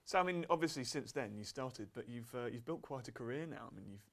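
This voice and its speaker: A male TV news presenter asking a guest questions in a brisk way. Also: medium pitch, expressive. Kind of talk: interviewing a guest.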